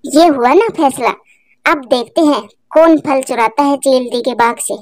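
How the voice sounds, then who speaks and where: A woman's voice: high-pitched, measured pace, darkish tone, no echo. A woman voicing a sly, scheming mother crow, defensive and boastful, in a cartoon. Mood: smug. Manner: scheming, indignant.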